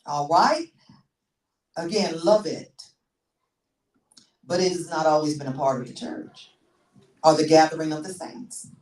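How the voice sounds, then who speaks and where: A woman's voice: low voice, a little slow, pauses often, darkish tone. A woman in her sixties preaching a sermon as pastor, teaching with frequent restarts and repeated words. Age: 60s. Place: church sanctuary. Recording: echoey church room, mic far off.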